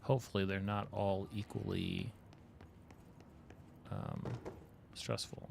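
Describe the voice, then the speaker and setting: A man's voice: lowish voice, fairly even intonation, slow pace, gravelly, darkish tone, a little hiss or hum. A man streaming a video game, chatting casually with viewers and thinking aloud as he plays. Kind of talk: casual live-stream commentary.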